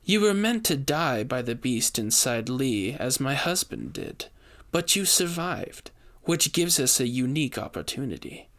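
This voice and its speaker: A man voicing a sly high-society woman who leads a witch coven, soft and sweet, with a silky manner. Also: expressive, unhurried. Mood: smug, gloating. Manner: gloating, silky.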